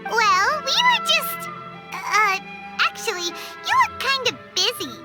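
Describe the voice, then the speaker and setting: Super high chirpy little fairy girl, bouncy sing-song voice, slow drawn-out talk. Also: big pitch swings, heavily acted. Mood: curious. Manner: chirpy, eager, blurts things out. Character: tiny excitable female fairy companion. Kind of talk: video game voice acting. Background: game music.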